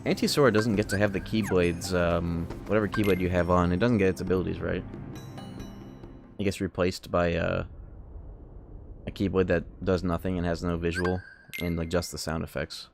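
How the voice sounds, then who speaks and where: A man's voice: low-pitched, flat delivery, moderate pace, creaky. A man giving casual, rambling live commentary while he plays a game, thinking aloud and swearing freely. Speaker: game livestreamer. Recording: music behind the voice. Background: game music.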